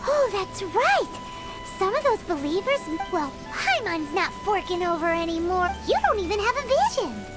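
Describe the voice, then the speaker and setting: A woman voicing a tiny, childlike fairy companion in a video game, chatty and blunt, with a squeaky voice. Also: big pitch swings, moderate pace. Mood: scheming, eager. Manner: refers to self in third person, blunt, exclamatory. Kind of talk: video game character acting. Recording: music behind the voice. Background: game background music.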